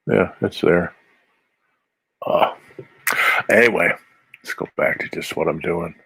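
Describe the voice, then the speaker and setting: A man's voice: deep voice, extremely slow, vocal fry, pauses often, fairly bright tone, breathy edge, dry recording, rough recording. A man with a Midwestern accent, a comic artist chatting casually and muttering to himself as he draws on a live stream. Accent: Midwestern American. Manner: mutters to himself between strokes, rambling asides. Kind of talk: casual live-stream commentary while drawing.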